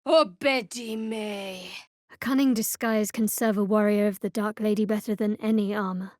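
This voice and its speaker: An actress playing a guarded, sardonic young female cleric of a dark goddess in a fantasy video game, speaking in a measured, dryly wry way. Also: medium pitch, fairly even intonation, dry recording, studio quality, close to an everyday voice. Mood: fierce, commanding, then reflective, solemn. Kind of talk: video game voice lines, dramatic fantasy acting.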